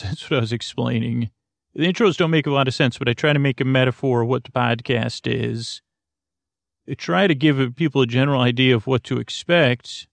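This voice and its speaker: A man with a creaky, gravelly voice wanders through a sleepy late-night monologue, stopping, restarting and trailing off as he tries to put listeners at ease. His pitch sits in an ordinary middle range. He is recorded close up in a dry space with no room sound. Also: no echo. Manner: meandering, halting, many restarts and fillers; drowsy, soothing delivery. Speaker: sleep podcast host.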